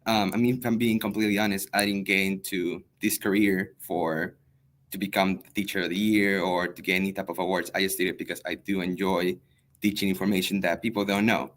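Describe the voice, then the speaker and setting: A young man from El Salvador, a native Spanish speaker, answering interview questions as a high school history teacher, earnest and hesitant with many pauses and fillers. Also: flat delivery, a bit bright. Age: young adult.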